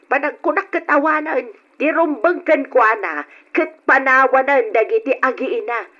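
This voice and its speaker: High-voiced scolding elderly wife. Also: female voice, measured pace, fairly bright tone. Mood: firm. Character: scolding elderly wife and worried mother, firmly opinionated. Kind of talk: old radio drama acting. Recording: dull lo-fi old radio recording.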